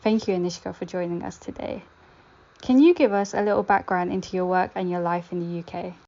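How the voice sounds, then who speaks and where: Mellow woman host reading an intro. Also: lowish voice, highs cut off. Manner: measured, reads from a script. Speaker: podcast host. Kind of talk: read introduction and question.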